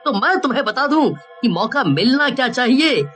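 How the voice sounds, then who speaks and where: A woman voicing boastful, excitable townsmen in a cartoon story. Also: high voice, normal speed, faint music behind. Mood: boastful, excited.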